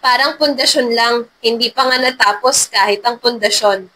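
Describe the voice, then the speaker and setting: A woman voicing a sharp, suspicious female undercover security agent in a dramatized story reading. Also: fairly high pitch, normal speed, rough recording. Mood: firm, revealing.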